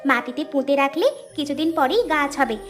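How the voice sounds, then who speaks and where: Woman voicing a high-pitched young village girl. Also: brisk, soft background music, lightly acted. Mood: animated. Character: poor young village sisters, earnest, worried, hardworking. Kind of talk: Bengali cartoon story dubbing.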